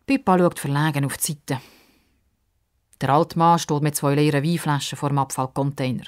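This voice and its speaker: A woman's voice: deep voice, fairly even intonation, normal speed. A woman with a Swiss accent narrating a children's story expressively.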